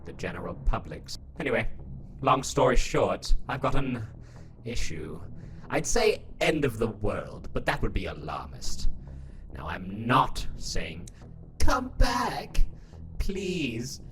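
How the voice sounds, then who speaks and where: Casting: smug scheming robot ruler played by a man, sardonic and theatrical, lively swooping delivery, music underneath. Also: medium pitch, slow pace, heavily acted. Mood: sly, mock-casual. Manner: theatrical, sardonic, mock-casual. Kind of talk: scripted fan dub of a comic.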